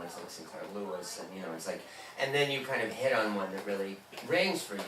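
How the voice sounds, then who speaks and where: A man moderating a bookstore conversation, asking long rambling questions full of fillers. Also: on the low side. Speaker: event moderator. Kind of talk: conversational interview. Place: bookstore event room. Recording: echoey room, distant mic, background noise.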